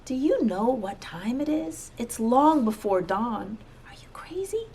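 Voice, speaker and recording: A man's voice: very high voice, big pitch swings, a little slow, darkish tone, husky, pitched above the performer's own voice, exaggerated voice. A woman voicing a sleepy, sceptical male farmer in a children's storybook, questioning with disbelief. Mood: incredulous. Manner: questioning, incredulous.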